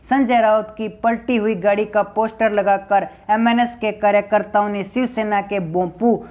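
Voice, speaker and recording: A woman presents political news commentary from a script. Her voice sits at a medium pitch for a woman. She speaks formally and puts firm emphasis on her points as a video narrator. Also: fairly even intonation, normal speed, darkish tone.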